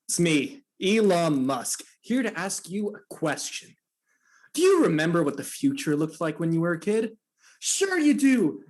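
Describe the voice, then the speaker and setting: An actor playing a smug male billionaire in a parody ad, with a chummy, theatrical sales manner. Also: mid-range voice. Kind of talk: parody advertisement.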